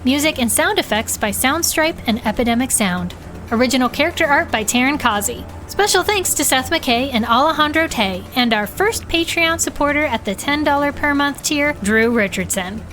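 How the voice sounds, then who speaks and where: High-pitched woman reading podcast credits. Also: lively intonation, moderate pace, fairly bright tone, soft background music. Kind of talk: scripted credits narration.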